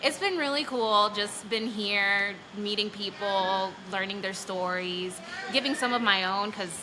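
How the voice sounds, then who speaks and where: A woman's voice: mid-range voice, a little slow. A young woman from New York City chatting casually as a guest, with many fillers. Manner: chatty, lots of fillers and likes. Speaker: guest, bakery employee. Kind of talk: casual chat. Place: bakery during a birthday event. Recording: noisy live event.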